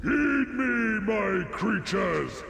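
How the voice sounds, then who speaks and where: A monstrous, commanding male villain for a fantasy game. The high, extremely gravelly voice draws words out very slowly, echoing through a cavernous space. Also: animated, a crowd in the background, full character voice. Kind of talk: video game voice acting.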